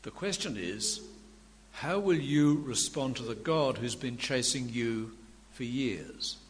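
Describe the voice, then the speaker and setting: An older man preaching a sermon with a quiet voice and dry humour. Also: medium pitch, animated, slow. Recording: long hall reverb, faint room noise.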